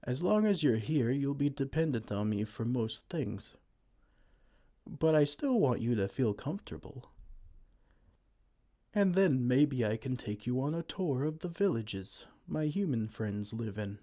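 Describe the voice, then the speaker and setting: A man playing a soft-spoken gentle giant. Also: medium pitch, animated, measured pace. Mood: fond. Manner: soft-spoken, soothing, tender pet names. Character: kind gentle male giant caring for a tiny human. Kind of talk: ASMR roleplay.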